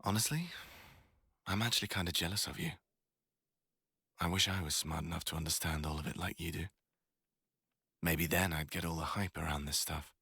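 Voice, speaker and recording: A man's voice: deep voice, moderate pace, pauses often, sharp tone, husky, no echo, studio quality, exaggerated voice. A young man playing a teasing, foul-mouthed college student crush in a casual boyfriend roleplay ASMR. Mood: admiring, self-deprecating. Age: young adult. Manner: casual, slangy, teasing, sweary.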